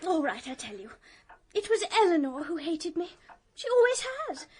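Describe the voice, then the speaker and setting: A woman's voice: very high voice, sing-song, moderate pace, darkish tone. A woman playing an anxious, timid young lady in a radio mystery, nervous and emotional. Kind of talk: 1920s country-house radio mystery acting.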